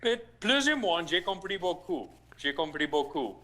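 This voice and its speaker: A priest with an American accent and English as his first language, answering interview questions slowly and haltingly, with restarts. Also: a little high, sing-song, bright, forceful tone. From United States (Missouri). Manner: slow, halting, with restarts and searched-for words. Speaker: rector of a shrine, priest.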